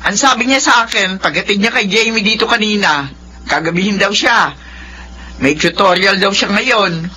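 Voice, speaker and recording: Actress as a sharp, fretful older mother. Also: mid-range voice, moderate pace, a little hiss or hum, lightly acted, poor audio. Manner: scolding, exclamatory. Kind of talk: Filipino radio drama acting.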